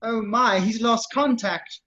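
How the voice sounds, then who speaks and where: Fussy droid: male reader doing a high, bright, prim worrier, slightly muffled sound. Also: slow, heavily acted. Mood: fretful. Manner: prim, fretful. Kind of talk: script table read.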